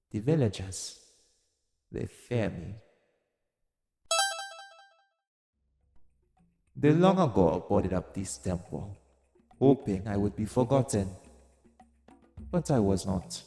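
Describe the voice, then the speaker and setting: A man playing an exiled god of vengeance in an audio roleplay, theatrical and deliberate, coaxing and taunting with dark amusement. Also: lowish voice, expressive, creaky, full character voice. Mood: brooding, sinister. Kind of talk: dramatic audio roleplay monologue to the listener. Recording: long cavernous reverb. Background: music.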